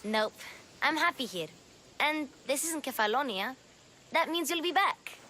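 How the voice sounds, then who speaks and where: A woman's voice: sits high, animated, unhurried, sharp tone, slight background noise, heavily acted. An actress voicing a cheerful young girl in a video game cutscene, chirpy and upbeat.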